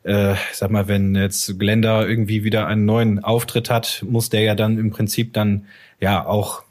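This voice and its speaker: A man's voice: fairly low pitch, little pitch movement, normal speed, a little mellow. A man co-hosting a podcast, talking in a casual chat style. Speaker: podcast co-host.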